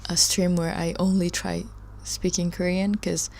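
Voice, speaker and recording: Woman, live stream host, casual chat, rambling, low pitch, gravelly. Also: level tone, measured pace, a little mellow. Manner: rambling, chatty, laughs often, trails off. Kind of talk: casual chat reading viewer comments.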